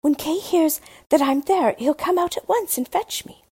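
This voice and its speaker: A woman's voice: sits high, normal speed, dark tone, pitched above the performer's own voice, natural voice. A woman voicing an earnest, devoted little girl in a storybook reading. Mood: determined. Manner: eager and pleading.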